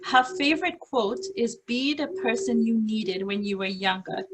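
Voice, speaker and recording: A woman's voice: on the high side, expressive, moderate pace, noisy background. A woman hosting an event, reading an upbeat, scripted introduction of a guest speaker over a video call. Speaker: event host.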